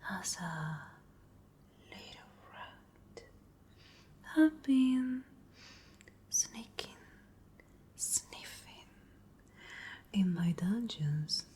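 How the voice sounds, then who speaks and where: A woman playing a cruel, haughty vampire noblewoman in a whispered ASMR roleplay, breathy, deliberate and taunting. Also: medium pitch, animated, dark tone, exaggerated voice. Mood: coolly menacing. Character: cruel, menacing noble lady vampire of a castle.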